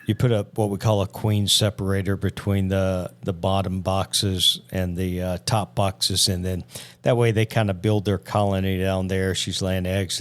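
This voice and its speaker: A man co-hosting a podcast, chatting in a laid-back, folksy way and dropping "man" and "dude" as he talks. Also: deep voice. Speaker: podcast co-host. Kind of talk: casual chat.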